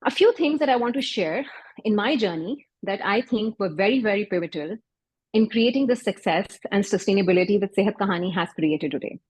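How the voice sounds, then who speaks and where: A woman with a Pakistani accent, a doctor and founder whose first language is Urdu, giving an earnest, measured talk at an event with occasional restarts. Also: mid-range voice, expressive, darkish tone, breathy edge, dry recording, poor audio. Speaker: doctor and health startup founder.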